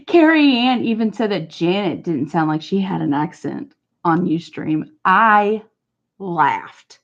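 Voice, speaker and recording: A woman's voice: lowish voice, lively intonation, takes it slowly. A woman with a northern US accent chatting casually on a livestream, rambling and laughing often. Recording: dull lo-fi stream audio.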